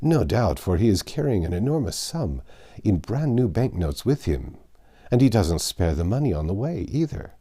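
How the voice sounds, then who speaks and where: Here is a talkative, trusting French manservant from a storybook, acted out by a man. He is proud and exclaims emphatically, with a very lively swing in pitch and a soft-edged, mellow tone. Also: moderate pace. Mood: confiding. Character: voluble, proud, trusting male French servant.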